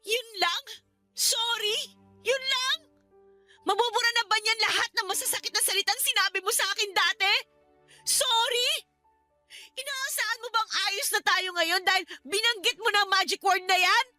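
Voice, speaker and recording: An actress playing a proud, hurt younger sister in a radio drama, speaking in an accusing, sarcastic manner. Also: female voice, very high-pitched, moderate pace, bright, forceful tone, faint music behind, exaggerated voice. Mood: hurt, angry. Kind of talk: Tagalog radio drama acting.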